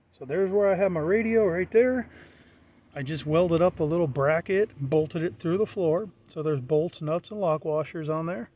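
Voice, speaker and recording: A man's voice: a little high, a little mellow. A middle-aged American man giving a casual, unscripted walkthrough in a plain-spoken, matter-of-fact way. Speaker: hobbyist presenter.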